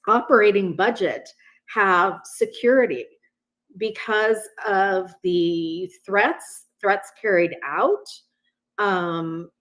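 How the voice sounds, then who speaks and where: Woman leading a church panel, slow careful talk, lots of ums, lowish voice. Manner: earnest, many fillers and restarts. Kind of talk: panel discussion.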